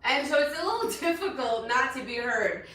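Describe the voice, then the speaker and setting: A woman in her thirties with a loud voice, a fitness coach giving a chatty motivational talk to her followers from a hotel room and repeating her points for emphasis. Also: sits high, animated, normal speed. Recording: very echoey room, voice from a distance.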